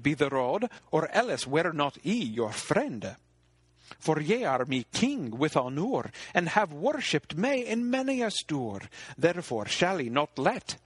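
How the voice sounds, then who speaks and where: A man's voice: on the high side, fairly bright tone, husky, no room sound, pitched above the performer's own voice, exaggerated voice. A man voicing a loyal young knight, speaking archaic verse earnestly and emphatically.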